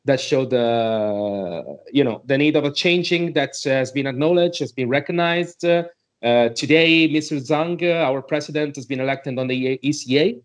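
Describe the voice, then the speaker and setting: A man's voice: medium pitch, normal speed. A man giving his opinion on a podcast, speaking hesitantly in non-native English and searching for words. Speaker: podcast panelist.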